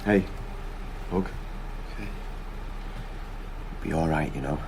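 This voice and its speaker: A man's voice: extremely low pitch, slow pace, noisy background. An actor playing a weary, anguished husband and father in a naturalistic radio drama. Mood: soothing.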